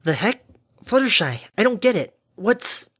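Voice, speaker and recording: A woman's voice: mid-range voice, big pitch swings, a little slow, husky, pitched above the performer's own voice. A woman voicing a brash female pegasus adventurer pony in a storybook reading, blunt, folksy and easily exasperated. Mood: bewildered. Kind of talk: animated audiobook character acting.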